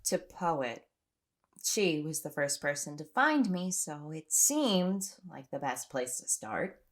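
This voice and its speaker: Woman acting anxious, exhausted woman, slow-paced. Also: sits low, sing-song, exaggerated voice. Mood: tense, recounting. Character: anxious, exhausted young woman trapped on an island. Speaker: lead actor in an audio drama. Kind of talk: audio drama acting, first-person recollection and dialogue.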